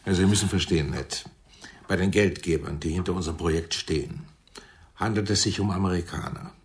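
Deep-voiced, smooth American showbusiness man. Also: fairly quick. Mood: wry, confiding. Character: shrewd American showbusiness man, smooth, feigning regretful concern. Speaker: actor in a radio play. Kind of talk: German radio drama acting.

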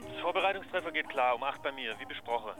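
A man's voice: mid-range voice, expressive, quick pace, music in the background, natural voice, low-quality recording. An actor playing a casual, apologetic young man leaving a slangy message for a friend in a radio drama. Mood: hurried, apologetic. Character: hurried, apologetic young slangy male buddy. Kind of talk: radio drama acting, answering machine message.

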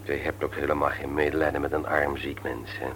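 An actor in a Dutch radio play, playing a self-pitying, self-satisfied husband and office boss with a husky voice. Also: male voice, rumbling low, flat delivery, brisk, fairly bright tone, slight background noise. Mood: plaintive.

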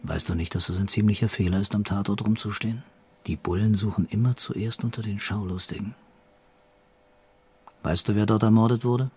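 An actor playing a middle-aged, dreamy and brooding police commissioner in a radio crime drama, talking calmly and deliberately. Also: male voice, fairly low pitch, slight background noise, natural voice. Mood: calmly probing. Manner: musing, calm, deliberate.

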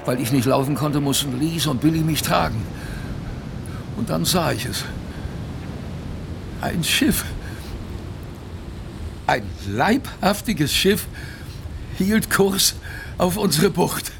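An earnest male survivor narrating a radio drama in the first person. He speaks slowly with a gravelly edge, vivid and conversational, leaving dramatic pauses. A faint noise lies underneath. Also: on the high side. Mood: moved, excited. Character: earnest former expedition member recounting his survival story.

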